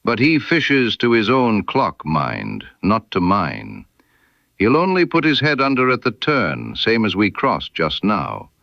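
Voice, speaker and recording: Man voicing a gruff, low-voiced lighthouse keeper. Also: huge pitch swings, unhurried, dry recording, highs cut off, full character voice. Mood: proud, plain. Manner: curt, plain-spoken, rustic turns of phrase. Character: gruff, wary, taciturn lighthouse keeper and boatman.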